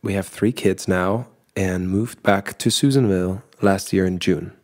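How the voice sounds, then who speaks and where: A man, co-hosting a podcast in a relaxed, rambling chat. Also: deep voice, unhurried. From Germany. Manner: relaxed, rambling with restarts and laughs. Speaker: podcast co-host. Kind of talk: casual chat.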